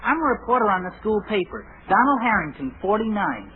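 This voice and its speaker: A man's voice: high-pitched, big pitch swings, fairly quick, narrow, tinny audio. A man playing a polite but drily exasperated schoolboy reporter in a 1940s radio comedy. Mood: polite, eager. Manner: polite, dry, wry. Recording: noisy band-limited 1940s radio recording.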